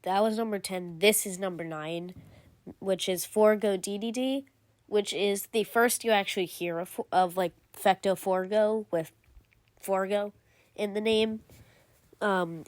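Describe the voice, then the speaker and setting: A woman's voice: mid-range voice, no echo. A woman giving casual commentary as a gaming video creator, rambling with lots of fillers and self-corrections. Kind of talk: casual game commentary ranking.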